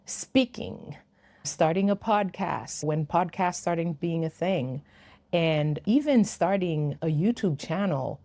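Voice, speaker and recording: A woman's voice: low voice, darkish tone. A woman in her fifties, for whom English is not a first language, telling a personal story to camera in an earnest, reflective way with light laughs. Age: 50s. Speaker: YouTuber.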